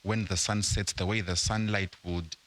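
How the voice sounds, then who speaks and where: Young guy from zimbabwe being interviewed, really low voice but bright and forceful, takes his time. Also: fairly even intonation, moderate pace. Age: young adult. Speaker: guest entrepreneur. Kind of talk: casual interview.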